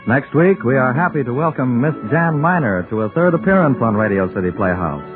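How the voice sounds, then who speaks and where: A man announcing in a scripted 1940s radio style with dramatic, measured phrasing. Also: mid-range voice, animated. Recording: dull 1940s radio broadcast with music. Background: music.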